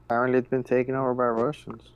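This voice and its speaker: A man's voice: mid-range voice, little pitch movement, rapid-fire, dull audio, a crowd in the background. A man chatting casually as a tabletop game player in a matter-of-fact way.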